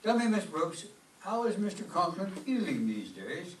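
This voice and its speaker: An actor playing a stuffy, incredulous school official with a formal manner, in an old-time radio comedy. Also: male voice, high voice, big pitch swings, moderate pace, far from the mic. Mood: courteous, assured. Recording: roomy stage, off-mic, faint noise.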